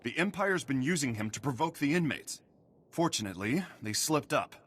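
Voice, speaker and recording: An actor playing a gruff, duty-bound prison station officer in a video game, giving brisk military briefings. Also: male voice, medium pitch, expressive, a bit bright. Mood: stern, urgent.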